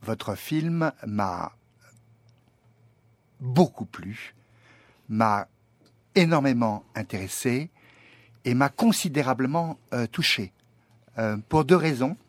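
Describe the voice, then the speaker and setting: A man's voice: medium pitch, expressive, slow, long pauses, no room sound. Courteous older man, dry close sound. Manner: elaborate, courteous, hesitant with many fillers. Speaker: guest questioning a filmmaker. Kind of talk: long, formal, winding questions.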